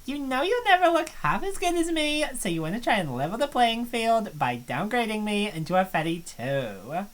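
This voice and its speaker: A woman's voice: mid-range voice, big pitch swings, normal speed, hardly any pauses, voice pushed down, close to an everyday voice. A woman voicing a vain, catty college girl. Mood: haughty. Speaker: game streamer reading voice lines.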